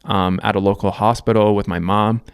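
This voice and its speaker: A man in his thirties from Canada, talking through his life story to camera. His voice sits a bit low and sounds smooth and resonant, recorded close and dry. He speaks reflectively and loosely, with plenty of ums and restarts. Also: level tone, brisk, no echo. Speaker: vlogger. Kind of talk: casual life-story monologue.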